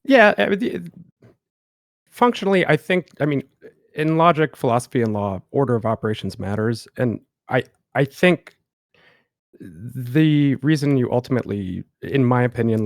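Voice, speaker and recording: A man's voice: medium pitch, vocal fry, dry recording, clean studio sound. A man calling in to give an analytical, hedging critique with many fillers. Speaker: caller. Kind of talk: casual call-in critique.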